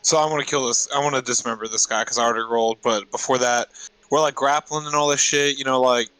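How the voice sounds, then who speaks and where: Rambling man with a bright, dry voice. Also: medium pitch, steady tone, fast talker, clean tone, dry recording, low-quality recording. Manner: rambling, slangy. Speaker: player in a tabletop game. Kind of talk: casual chat, describing game actions.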